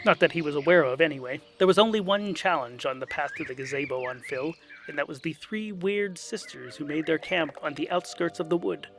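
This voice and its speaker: Wry, creaky-voiced male storyteller. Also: fairly high pitch, fairly quick, a bit bright, slight background noise. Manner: wry, dry. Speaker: narrator. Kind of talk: scripted comic fantasy narration.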